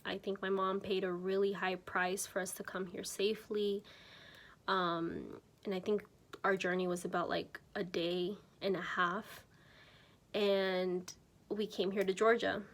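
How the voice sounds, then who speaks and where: A woman's voice: mid-range voice, flat delivery, unhurried. A woman in her twenties, from Mexico, telling her personal faith testimony to camera in a casual, unpolished way with many ums and self-corrections. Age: 20s. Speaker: church member sharing her testimony.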